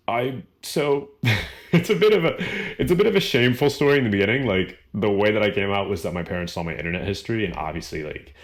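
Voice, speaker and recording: A young man with a baritone voice answering viewer questions in a relaxed, rambling vlog chat full of fillers. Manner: relaxed, rambling, lots of fillers and small laughs. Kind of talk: casual Q&A chat.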